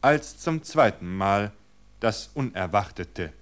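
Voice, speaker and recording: A man reading a mystery story aloud for radio in a calm, measured way. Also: lowish voice. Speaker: reader.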